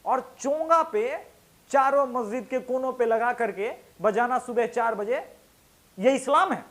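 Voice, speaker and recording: A man's voice: extremely high pitch, a little slow, fairly bright tone. A man hosting a talk show, delivering an impassioned, combative opinion monologue full of colloquial emphasis and rhetorical questions. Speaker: show host, political commentator.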